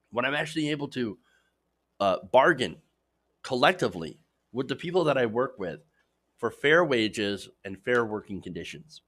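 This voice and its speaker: A man hosting a progressive political news show, giving emphatic, opinionated commentary straight to viewers while his voice is slightly hoarse. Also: medium pitch, a bit bright.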